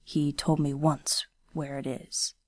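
A woman reading a quiet, stern young male ronin swordsman, speaking in low, clipped words. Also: little pitch movement, takes it slowly, no echo, clean studio sound. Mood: terse.

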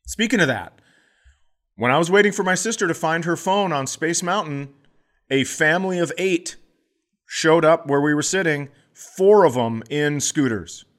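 Animated middle-aged male comedian telling stories. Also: a little high, normal speed. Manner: animated, acts out voices in his stories. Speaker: comedian podcast co-host. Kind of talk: casual comedic storytelling.

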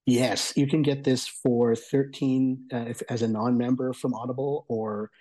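A man's voice: mid-range voice, little pitch movement, a little slow, dark tone, rough recording. A man giving an enthusiastic, rambling conversational review as a guest on a talk show. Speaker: guest reviewer of audio entertainment.

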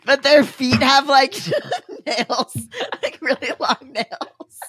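A woman's voice: sits high, slow pace, a bit bright, breathy edge. A woman co-hosting a podcast, talking in a casual, comedic, conversational way. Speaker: podcast co-host.

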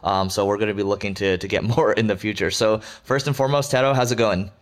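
A man's voice: on the low side, steady tone, fast talker. A man hosting a business podcast, asking casual interview questions and often stumbling over his words. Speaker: podcast host.

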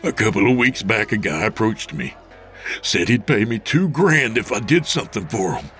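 A man's voice: on the low side, lively intonation, moderate pace, fairly bright tone, soft background music. A man voicing a cowardly, sleazy male crook who stammers and whines. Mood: scared. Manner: stammering, whining.

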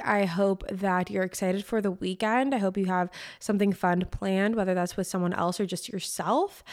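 A young woman hosting her own podcast, chatting bubbly and casually, often repeating words for emphasis. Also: mid-range voice. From East Coast of the US. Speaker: podcast host. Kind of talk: casual chat.

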